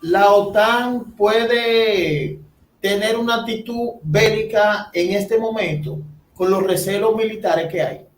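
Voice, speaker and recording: A high-pitched man interviews a guest at an unhurried pace. He sets up each question deliberately. He sounds distant from the mic in an echoey room, with faint noise. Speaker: host interviewer.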